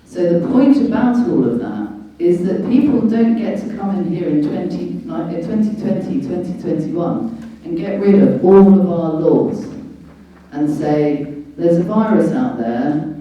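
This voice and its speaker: A British woman with a low, very dark voice gives an informal lecture to a public meeting. She is emphatic and didactic, posing rhetorical questions. The sound is heavily echoed and comes from well away from the mic. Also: expressive, a little slow. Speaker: guest speaker, lawyer. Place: large echoey indoor venue at a public meeting. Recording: dull, very echoey hall, distant mic.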